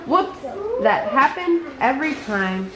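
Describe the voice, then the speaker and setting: An eager, childlike storybook tiger full of bounce, acted out by a woman reading aloud. The voice is high and speaks in simple exclamations, in an echoey room with noise behind it. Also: big pitch swings, a little slow. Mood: excited.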